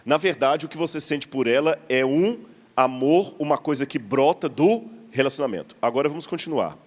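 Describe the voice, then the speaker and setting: A man's voice: mid-range voice, lively intonation, normal speed. A man with a Brazilian accent preaching in a conversational, explanatory way, posing rhetorical questions with a slight stammer. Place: large church hall. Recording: long hall reverb.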